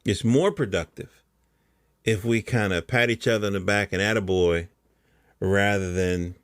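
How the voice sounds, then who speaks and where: A middle-aged American man speaks in a low-pitched voice with very little room sound around it. He talks like a casual podcast host, rambling along in colloquial language. He often checks that the listener is following him. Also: fairly even intonation, moderate pace, a bit bright, no echo, clean studio sound. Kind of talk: casual conversational monologue.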